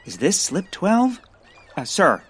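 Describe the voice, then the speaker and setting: Earnest nerdy male bird lover, over-polite and easily flustered, lively swooping delivery, for an audio comedy. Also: high voice, slow, slightly rough, heavily acted. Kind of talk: comic audio drama acting.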